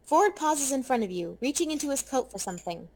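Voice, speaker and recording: A woman's voice: high voice, very animated, fairly quick, a little mellow, slightly rough. A woman reading scripted second-person narration for a story game. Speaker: narrator and player character reader. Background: music.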